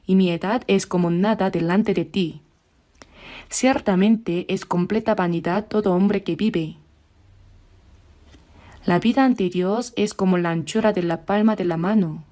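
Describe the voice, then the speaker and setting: A woman delivers a sermon in a warm, mellow voice. She speaks at a measured pace and draws out the scripture passages slowly. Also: medium pitch. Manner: measured, slows down for scripture readings. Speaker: preacher. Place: church.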